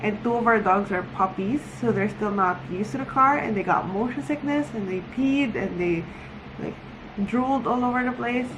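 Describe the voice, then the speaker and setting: A woman's voice: on the high side, moderate pace, background noise. A woman talking casually and giggly to viewers in a rambling, conversational video diary, recorded indoors at home. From Philippines. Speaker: book vlogger.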